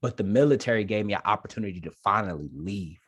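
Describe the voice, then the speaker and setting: A young man from Detroit, a podcast guest telling his story in casual, animated, slangy chat. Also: on the low side, fast, a little hiss or hum. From Detroit, Michigan, USA. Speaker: podcast guest, Marine staff sergeant.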